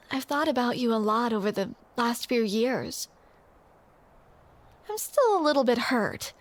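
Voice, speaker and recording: Young woman, romantic audio roleplay: teasing and playful, high voice, unhurried. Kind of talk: scripted romantic roleplay spoken to the listener.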